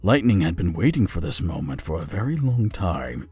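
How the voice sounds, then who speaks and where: Husky, lively male storyteller. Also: deep voice, moderate pace, no echo, faint music behind. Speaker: narrator. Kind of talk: storybook narration.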